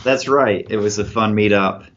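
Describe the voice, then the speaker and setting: Methodical male researcher, low voice. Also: moderate pace, fairly bright tone. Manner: clear, methodical explaining with examples. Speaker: researcher guest. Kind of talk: explanatory interview answers. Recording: dull lo-fi podcast audio.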